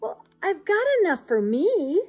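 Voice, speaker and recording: A woman's voice: very high voice, big pitch swings, measured pace, a little mellow, faint music behind, pitched above the performer's own voice, exaggerated voice. A woman voicing an exasperated but hospitable young boy in a storybook, with dramatic dismay. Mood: resigned welcoming.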